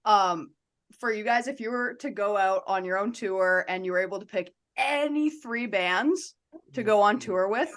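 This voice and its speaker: A woman co-hosting a podcast, asking questions in a measured, thoughtful way. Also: mid-range voice, a bit bright. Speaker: podcast co-host. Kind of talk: casual interview.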